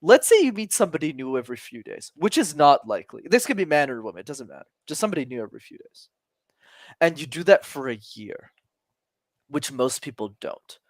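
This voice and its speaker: A man in his twenties, a communication coach talking as a podcast guest, energetic and emphatic, casual with some swearing. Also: on the high side, fairly quick, no echo. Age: 20s.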